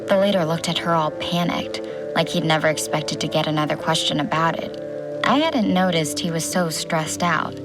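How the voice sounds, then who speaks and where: A woman's voice: low voice, moderate pace, lightly acted. An actress playing a young woman doctor who narrates tense events in a scripted first-person audio drama. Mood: observant, wry. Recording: studio narration under loud music. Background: loud music.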